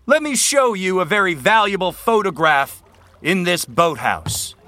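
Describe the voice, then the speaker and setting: A man's voice: sits high, animated, unhurried, pushed and bright, a little hiss or hum, full character voice. An actor playing an arrogant, domineering young male college rowing captain in an audio drama, commanding and projecting. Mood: grandiose, lecturing. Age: young adult. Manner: pompous, commanding, projected speech. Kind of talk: scripted audio drama acting.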